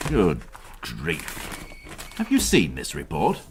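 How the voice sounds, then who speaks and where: An actor playing an irascible, sarcastic middle-aged police inspector in a radio crime drama, blustering. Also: male voice, rumbling low, huge pitch swings, takes it slowly, slight background noise, full character voice. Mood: irritated, brisk. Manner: blustering, sardonic. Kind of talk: naturalistic radio drama acting.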